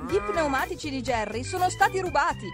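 A woman narrating a children's cartoon in an expressive, exclamatory way, talking directly to the characters as if to small children. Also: sits high, brisk. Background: background music.

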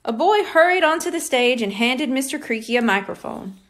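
A woman narrating a children's book aloud in an expressive, deliberate storytelling manner. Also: high voice. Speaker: audiobook reader.

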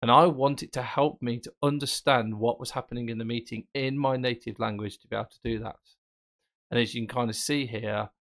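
Casual man demoing software on screen. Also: mid-range voice. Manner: casual, explanatory, thinking aloud. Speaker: tech presenter. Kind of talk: screen-recorded software tutorial.

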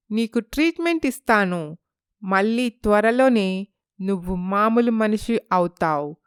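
A woman voicing a calm, self-assured psychiatrist in a moral story, explaining things in a measured, patronizing way. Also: a little high, clear, resonant tone, dry recording, studio quality. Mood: reassuring. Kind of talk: dramatized moral story dialogue.

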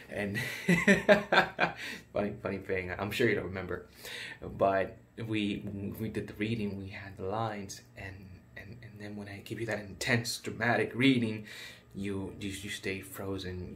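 Male actor plays a disgraced bit-part actor, unhinged, stammers and repeats, ordinary mid-range voice, whisper-to-shout swings. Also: mid-range voice, close to an everyday voice. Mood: nervous, giggly. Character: unhinged failed actor, a man bent on revenge. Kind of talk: dramatic monologue.

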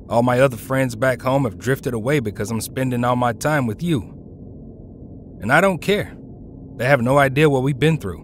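A man voices a loyal teenage hero with a medium-pitched voice. He sounds casual and slangy, supporting a friend in audio drama style, over faint background ambience. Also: a little hiss or hum, lightly acted.

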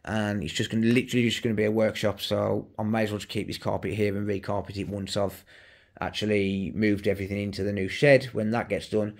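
A man's voice: lowish voice, level tone, fast, creaky. A middle-aged man with a West Midlands English accent, chatting casually and rambling as he films on a handheld phone while walking through a house under renovation. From West Midlands, England. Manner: chatty, rambling, self-correcting with many fillers. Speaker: electronics repair YouTuber. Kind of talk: casual walkthrough vlog. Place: unfinished house under renovation, indoors and garden. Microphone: handheld phone camera, filming as he walks.

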